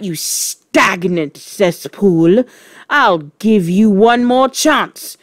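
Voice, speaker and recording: A woman's voice: deep voice, no echo, studio quality. A woman voicing an enormous bullying headmistress in a storybook, barking out scornful, furious insults.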